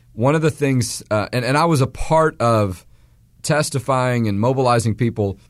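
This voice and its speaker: A man in his forties from Texas, hosting a radio talk show in a hoarse voice, rambling with restarts and fillers. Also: mid-range voice, dry recording, clean studio sound. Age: 40s.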